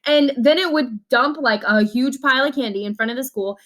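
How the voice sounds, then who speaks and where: A woman reading a storybook aloud as an eager grade-school boy who insistently pitches his wild ideas. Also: brisk, a bit bright.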